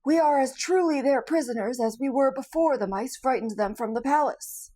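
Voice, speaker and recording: A woman voicing a calm, thoughtful male scarecrow who speaks quietly and deliberately. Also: high voice, voice pushed up.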